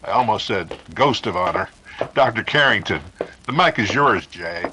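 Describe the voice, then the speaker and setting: An actor playing a showy, jocular radio announcer in 1930s style, with orotund, teasing delivery. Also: male voice, on the low side, expressive, moderate pace, background noise, lightly acted.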